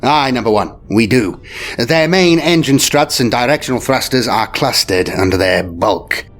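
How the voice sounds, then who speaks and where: A man acts out a commanding male admiral prince of a space navy, shrewd and battle-seasoned. The voice swings widely in pitch, with clipped declamatory phrases and weighty pauses, over music in a science fiction audio story. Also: lively intonation, fairly bright tone. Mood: animated, confident. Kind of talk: dramatic audio story character. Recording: music behind the voice.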